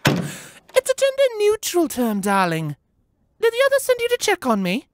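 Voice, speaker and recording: Fussy posh pony lady, squeaky sing-song voice, guy reading does her. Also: huge pitch swings, normal speed, no room sound, studio quality, exaggerated voice. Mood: theatrical. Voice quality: high falsetto, dramatic and affected. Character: prim theatrical mare, noblewoman fashionista.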